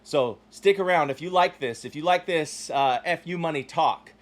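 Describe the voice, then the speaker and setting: A man in his forties delivers a motivational podcast monologue with a slightly higher voice than most men. He talks bluntly and swears freely, punching some phrases hard and dropping others to a low aside. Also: moderate pace. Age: 40s. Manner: blunt and profane, swinging from loud emphatic bursts to low asides. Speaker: podcast host.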